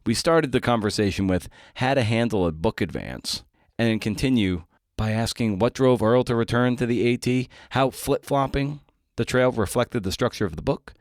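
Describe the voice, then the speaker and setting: A man's voice: medium pitch, moderate pace, no room sound, studio quality. A man speaking as a podcast host, introducing and interviewing a guest.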